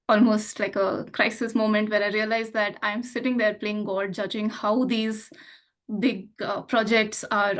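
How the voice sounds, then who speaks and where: A woman's voice: mid-range voice, fairly bright tone. Woman from India, earnest interview guest. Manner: earnest, reflective, with frequent um and uh fillers. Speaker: podcast guest, finance professional. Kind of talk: casual interview chat.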